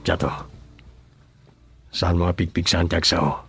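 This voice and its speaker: An actor with a Filipino accent playing a commanding, secretive boss and husband in a radio drama. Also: male voice, sits low, level tone, measured pace, vocal fry, fairly bright tone, soft background music, heavily acted. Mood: guarded. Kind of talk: Ilocano radio drama acting.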